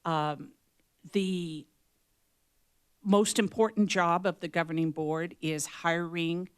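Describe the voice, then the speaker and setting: A woman with a very low, smooth voice speaks slowly and deliberately as a forum candidate. The sound is dry and close. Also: fairly even intonation, no room sound. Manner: slow, deliberate. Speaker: board candidate.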